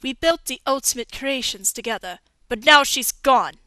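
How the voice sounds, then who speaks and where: Casting: woman as embittered female inventor, highish forceful voice, biting delivery, game fan dub. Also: animated, moderate pace, no room sound. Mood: grieving, wistful. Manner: mocking, brusque, biting. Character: bitter, sharp-tongued grieving female robot engineer.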